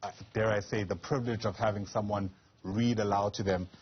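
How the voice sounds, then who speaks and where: A man's voice: on the low side, steady tone, fairly quick, slightly rough. A man interviewing on a news programme in a reflective, formal yet conversational way. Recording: slightly dull, lo-fi audio.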